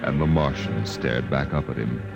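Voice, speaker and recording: A man narrating a radio drama in a grave, deliberate, dramatic storytelling style. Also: rumbling low, creaky. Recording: muffled vintage radio recording with music.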